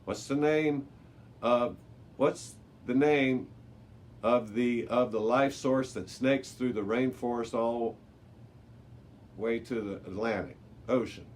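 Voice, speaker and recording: A man voicing a commanding male race announcer in a children's storybook, showy and deliberate as he rallies young contestants. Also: medium pitch, pitched above the performer's own voice, lightly acted. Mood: rallying, commanding. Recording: noticeable background noise.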